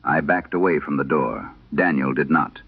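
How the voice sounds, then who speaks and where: A man narrating a scripted horror tale in vintage radio style, solemn and measured, with dramatic pauses. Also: rumbling low, husky. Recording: dull, band-limited vintage radio sound.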